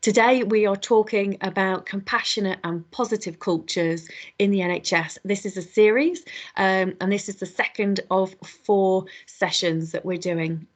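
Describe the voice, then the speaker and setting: A woman with a slightly croaky voice, hosting an online webinar and welcoming attendees in a chatty way, with lots of ums and quick self-corrections. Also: medium pitch, steady tone. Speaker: webinar host. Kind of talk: welcoming an online audience, housekeeping.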